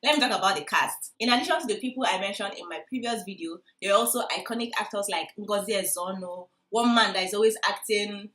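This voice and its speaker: Young nigerian igbo lady doing a chatty movie review vlog, excitable and emphatic, roomy echo. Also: medium pitch, quick pace, hardly any pauses. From Enugu, southeast Nigeria. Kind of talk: casual vlog chat to viewers.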